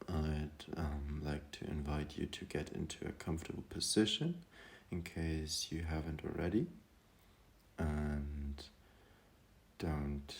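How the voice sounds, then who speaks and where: A man guiding a meditation in a calm, gentle manner, speaking hesitantly with long pauses. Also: a bass voice, creaky. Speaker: meditation guide. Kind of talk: guided meditation talk.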